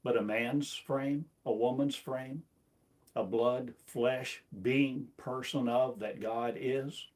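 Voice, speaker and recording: A man preaches at a slow, deliberate pace in an ordinary mid-range voice. He strings together rhetorical questions in a repetitive, incantatory flow, recorded on his own. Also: medium pitch, steady tone, darkish tone. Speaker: self-styled preacher. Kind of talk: rambling spoken sermon. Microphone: self-recorded.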